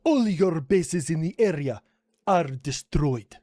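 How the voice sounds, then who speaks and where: A man's voice: on the high side, animated, slow, mellow, dry recording, clean studio sound. A man voicing a defiant, indignant country character who argues and accuses in a comedy skit. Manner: argumentative, indignant.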